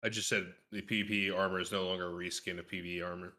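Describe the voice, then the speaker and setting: A man's voice: deep voice, flat delivery, very fast, bright, forceful tone. A man in a casual gaming podcast conversation, explaining details in an informal, knowledgeable way. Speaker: podcast guest.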